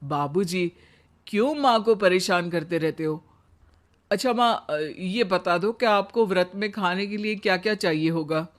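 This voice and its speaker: A man acts out a grown son in a comic cartoon tale. His pitch is very high for a man. He sounds gentle, reasonable and a little exasperated. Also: unhurried. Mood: concerned. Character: caring, reasonable adult son. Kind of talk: comic animated moral story acting.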